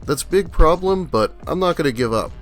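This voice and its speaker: A man's voice: fairly high pitch, expressive, normal speed, soft background music, pitched above the performer's own voice, close to an everyday voice. A man reading as a self-pitying, aggrieved teenage male in plaintive broken English for a comedy video. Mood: insistent.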